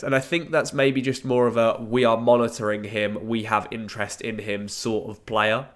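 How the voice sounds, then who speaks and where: Young male vlogger, nasal with a head cold, casual and earnest, average pitch. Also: fairly bright tone. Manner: earnest, pleading fan talk. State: blocked nose from a cold. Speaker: football fan YouTuber. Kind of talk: casual transfer news round-up.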